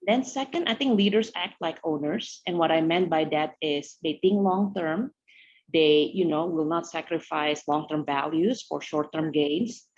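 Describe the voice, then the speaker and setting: A woman's voice: low voice, moderate pace, a little mellow, lo-fi sound. A middle-aged woman from Indonesia, a tech leader answering interview questions thoughtfully, with many "you know" fillers.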